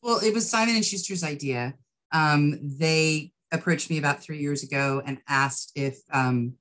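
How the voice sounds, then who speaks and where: Woman, middle-aged, low voice, interview guest, measured, thoughtful, pausing. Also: a bit bright. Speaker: guest writer and producer. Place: indoor room with bookshelves, video call.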